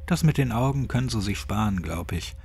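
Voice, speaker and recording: A man's voice: on the low side, expressive, fairly quick, gravelly, darkish tone, slightly rough, full character voice. A man voicing an elderly, quirky retired gardener in a horror audiobook, chatty, colloquial and grimly cheerful. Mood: blunt, urgent. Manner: folksy, chatty, colloquial rambling.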